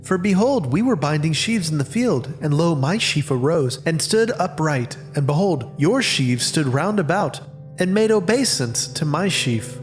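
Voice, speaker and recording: A man plays an earnest teenage shepherd boy in an audio Bible drama. His pitch swings in lively arcs as he declaims old-fashioned lines. Soft music sits behind him. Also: measured pace. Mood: earnest wonder. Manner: archaic, declaiming scripture dialogue. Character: seventeen-year-old Hebrew shepherd boy, earnest dreamer. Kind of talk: dramatized scripture reading.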